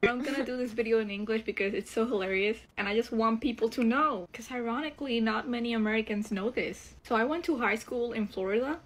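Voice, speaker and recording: A woman's voice: a little high, quick pace, hardly any pauses. A woman with a Puerto Rican accent telling a casual story to camera in a sardonic way. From Puerto Rico. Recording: clear background noise.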